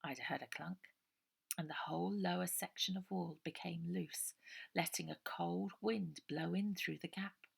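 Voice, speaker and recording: A woman reading a children's novel aloud as a storyteller, in an expressive scripted style. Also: lowish voice, a little slow, vocal fry, slightly rough.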